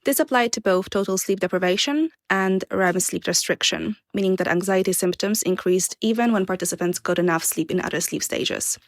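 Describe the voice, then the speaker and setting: A young woman from Poland, a PhD student, reading a prepared answer on sleep science in a measured, formal way. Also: lowish voice, no room sound. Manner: measured, formal explanatory delivery.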